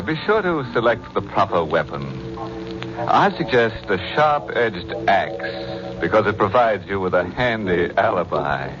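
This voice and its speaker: Low, swooping, ghoulish radio host. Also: male voice. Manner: droll, theatrical, tongue-in-cheek. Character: gleefully macabre, mocking horror-show host. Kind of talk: 1940s radio horror hosting with puns. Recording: dull old radio recording, music behind.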